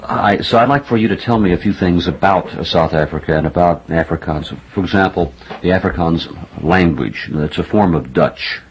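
A man interviewing an author, asking his questions in a halting way with repeated words and many "uh"s. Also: deep voice. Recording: dull lo-fi sound with faint noise.

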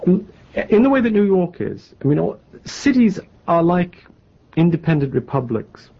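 A man in his forties from New England, a novelist answering interview questions in a halting, wry way, with many restarts and a faintly English-tinged American accent. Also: high voice, very animated, gravelly, husky. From New England, United States. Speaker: novelist guest. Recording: muffled lo-fi interview tape.

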